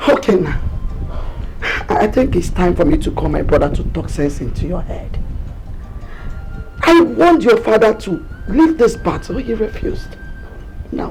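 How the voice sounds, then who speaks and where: A woman's voice: high-pitched, a little mellow, heavily acted, low-quality recording. A woman playing a distraught royal mother who begs tearfully and repeats herself, fearing for her only son. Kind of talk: melodramatic film acting.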